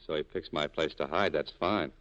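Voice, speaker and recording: An actor with an American accent playing a cynical, guarded cafe owner in a terse, deadpan 1940s radio drama style. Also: male voice, very deep voice, moderate pace. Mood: gruff, guarded. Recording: dull vintage radio recording.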